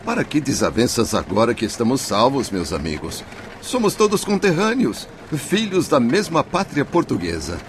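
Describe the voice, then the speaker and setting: A man's voice: medium pitch, huge pitch swings, moderate pace, a little hiss or hum. A man acting a weary, conciliatory rescued soul, earnest and pleading, in a radio drama.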